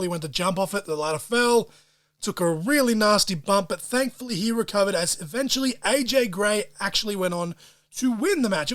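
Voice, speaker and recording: Aussie guy doing a solo pod, fired up and cussing, kinda high voice. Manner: excitable and profane, wrestling-fan slang. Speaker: podcast host. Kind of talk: solo podcast recap of wrestling shows.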